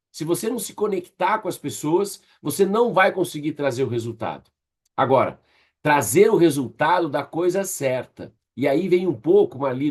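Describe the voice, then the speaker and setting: A man with a Brazilian Portuguese accent speaks as a trainer leading a group session. His voice sits a little higher than average for a man. He explains in a didactic but conversational way, often turning to his listeners with short tag questions. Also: darkish tone, slightly rough. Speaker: leadership trainer.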